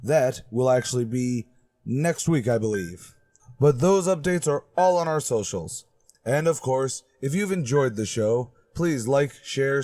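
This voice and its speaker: A man's voice: medium pitch, a little mellow. A man hosting as announcer, reading scripted radio show narration with old-time broadcast phrasing. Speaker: announcer and host.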